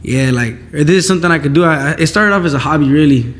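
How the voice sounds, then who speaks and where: A man's voice: a little high, fast, fairly bright tone, slight background noise. Laid-back eighteen-year-old man, easygoing talk. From Southern California. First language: Spanish. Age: young adult. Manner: laid-back, many likes and restarts. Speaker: guest singer. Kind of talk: casual interview chat.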